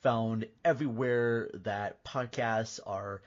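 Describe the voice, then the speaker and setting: A man's voice: on the low side, steady tone, slow pace. A man hosting a podcast in casual, joking, sometimes mock-serious chat. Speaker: podcast host. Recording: dull, band-limited podcast audio.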